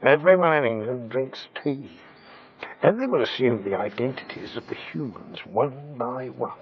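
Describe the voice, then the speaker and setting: Man playing solemn paranoid dad on an old message, muffled recording, normal pitch, radio comedy. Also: lively intonation, moderate pace, a crowd in the background, lightly acted. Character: conspiracy-minded father explaining an alien invasion plot. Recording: muffled played-back recording.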